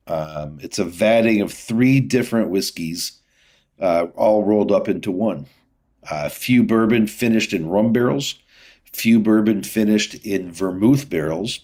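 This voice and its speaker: Male interview guest, lower-pitched voice, informal explainer, conversational and peppered with filler phrases. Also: a little mellow. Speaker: guest, distillery founder and whiskey maker. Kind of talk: casual interview chat.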